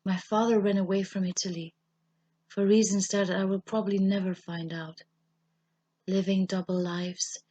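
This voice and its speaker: A woman with a German accent, a native German speaker, reads a literary personal essay in a measured, solemn, husky and slightly breathy voice. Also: medium pitch, level tone, mellow, dull audio. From German-speaking Europe. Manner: measured, solemn, deliberate phrasing with pauses. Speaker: writer reading her own essay.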